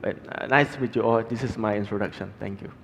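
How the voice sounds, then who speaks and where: A man's voice: lowish voice, fairly even intonation, fast, vocal fry, slight background noise. Thai-accented man speaking in a reverberant hall. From Thailand. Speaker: panelist, halal content creator. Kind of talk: informal self-introduction on stage. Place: large hall, on stage at a forum. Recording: reverberant hall, stage sound.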